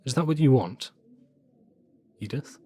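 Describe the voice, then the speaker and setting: A man playing a Nazi official in an audio drama, formal and insinuating, with quiet menace under his courtesy. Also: mid-range voice, animated, measured pace, breathy edge. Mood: flattering, insinuating.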